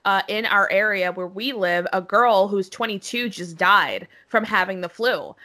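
A woman's voice: mid-range voice, a little slow, pushed and bright. A young adult woman co-hosting a casual podcast, a little nasal and congested from a cold, talking frankly and swearing freely. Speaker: podcast co-host.

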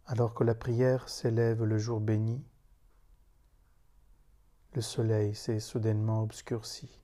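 Slow, very dark-voiced man reciting poetry. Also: fairly low pitch, little pitch movement. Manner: grave, meditative, long pauses between short phrases. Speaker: meditation leader reciting own poem. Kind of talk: solemn spoken poetry recitation.

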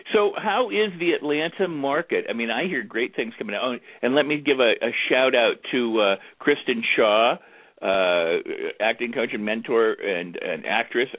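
A man's voice: lowish voice, no room sound. A man hosting an internet radio interview show, chatting in an easygoing way as he plugs the show and introduces his guest. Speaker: radio talk show host. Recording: tinny phone-like line, dry.

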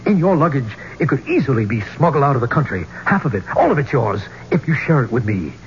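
An actor playing a smooth-talking, greedy archeologist turned thief, with persuasive, theatrical delivery in a 1970s radio drama. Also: male voice, medium pitch, very animated, fast talker, close to an everyday voice. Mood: coaxing. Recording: muffled, noisy old radio recording.

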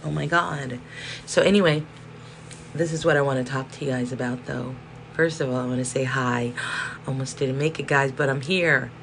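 A hoarse woman from Los Angeles hosting a solo podcast, talking in a rambling, chatty way that keeps interrupting itself. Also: extremely low pitch, steady tone, normal speed. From South Central Los Angeles. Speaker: podcast host. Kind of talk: casual solo podcast monologue. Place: indoor room with space heater. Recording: faint background whirring noise.

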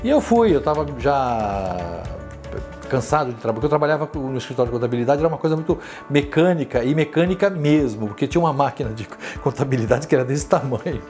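Older São Paulo man, halting and reflective. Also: medium pitch, animated, a little mellow, faint music behind. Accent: São Paulo Portuguese. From São Paulo, Brazil. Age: 60s. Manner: unhurried, hesitant, frequent tag questions and restarts. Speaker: interviewee recalling his career. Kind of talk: reflective life-story interview.

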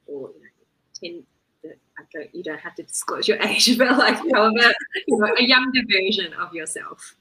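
A woman's voice: mid-range voice, lively intonation, moderate pace, pushed and bright, low-quality recording. A woman hosting a podcast interview, introducing her guest and asking questions in a chatty, loosely phrased way. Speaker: podcast host.

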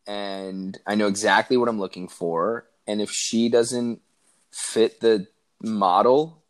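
Earnest man around thirty, fairly low voice. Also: fairly even intonation, slow. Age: 30s. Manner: earnest, reflective, with many fillers and restarts. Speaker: podcast guest. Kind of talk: casual interview chat.

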